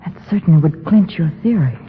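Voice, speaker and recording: A woman's voice: on the low side, expressive, a little fast, natural voice. Observant, uneasy lady sidekick played by a woman, swooping dramatic delivery, thin phone-like vintage radio drama. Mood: nervous, intrigued. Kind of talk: 1940s radio acting. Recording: phone-like, noisy 1940s radio recording.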